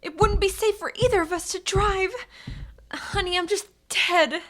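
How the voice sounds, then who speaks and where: An actress playing an exhausted, frightened wife in a radio drama. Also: female voice, very high-pitched, fairly even intonation, normal speed, fairly bright tone. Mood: weary, fearful.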